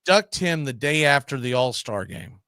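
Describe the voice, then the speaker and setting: A man's voice: medium pitch, very animated, normal speed, bright, forceful tone, no room sound. A man hosting a live sports show, chatting casually about the game.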